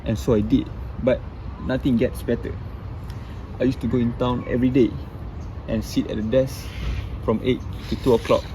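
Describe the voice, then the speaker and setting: A man playing a weary, formal gentleman near sixty in a stage play, speaking in a measured, slightly halting, melancholy way. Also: mid-range voice, noisy background, close to an everyday voice. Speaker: stage actor.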